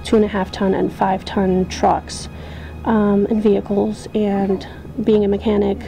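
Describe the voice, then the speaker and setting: A woman's voice: medium pitch, flat delivery, a little slow, gravelly, warm tone, faint music behind, rough recording. A young adult woman from Poland, an army veteran, answering interview questions hesitantly with many ums and restarts.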